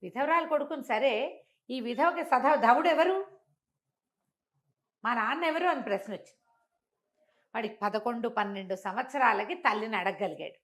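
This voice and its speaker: An older woman telling a traditional moral story in a conversational interview, acting out the characters' speech with lively jumps in voice. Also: normal speed, long pauses. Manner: animated, acts out quoted speech with big pitch jumps.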